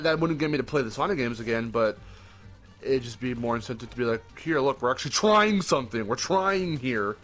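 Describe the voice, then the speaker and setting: A man's voice: mid-range voice, a bit bright. A young man talks with a groggy, worn morning voice, the way a podcast co-host chats casually. He rambles, backs up to correct himself and drops the odd swear. Faint music plays underneath him. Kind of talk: casual chat.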